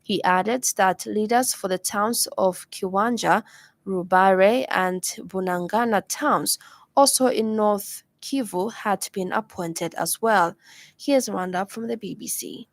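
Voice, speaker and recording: A Zambian woman presents a radio news bulletin from a script. Her voice sits at a middle pitch, and the studio sound is close and dry. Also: a little slow, dry recording.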